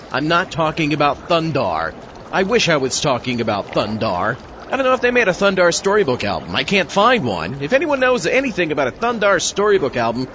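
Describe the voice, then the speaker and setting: A man hosts a comedy audio show in character. His voice is a little high and very animated, slightly muffled with faint noise behind it. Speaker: host. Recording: muffled, faint background ambience.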